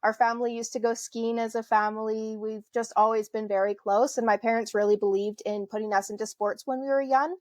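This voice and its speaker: A young woman from Canada chats as a podcast guest, friendly and easygoing, with lots of fillers and soft laughs. Her voice sits slightly high, and the recording is dry and close, with no room echo. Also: steady tone, fairly quick, hardly any pauses. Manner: friendly, chatty, frequent fillers and light laughs. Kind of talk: casual interview conversation.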